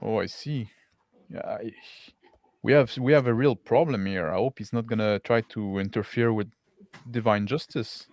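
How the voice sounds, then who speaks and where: A man improvising as a zealous, plain-spoken villager in a tabletop roleplaying game, informal and halting, often saying "like". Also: lowish voice, a little mellow, no room sound. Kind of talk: casual improvised roleplay and narration.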